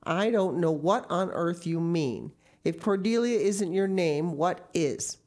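A woman voicing a stern, practical middle-aged spinster who speaks briskly and dryly. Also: on the low side, expressive, a little mellow, voice pushed up. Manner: brisk, no-nonsense, dry.

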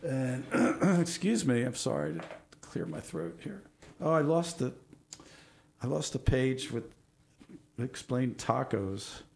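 A man hosting a show, reading out corny jokes with a casual, jokey manner, laughing at his own puns while losing his voice and clearing his throat. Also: medium pitch, lively intonation, slow, a little mellow. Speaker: show host.

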